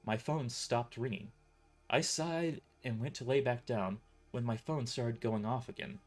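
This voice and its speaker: Animated male audio-drama narrator. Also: mid-range voice. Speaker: author and narrator. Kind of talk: first-person scripted audio-drama narration.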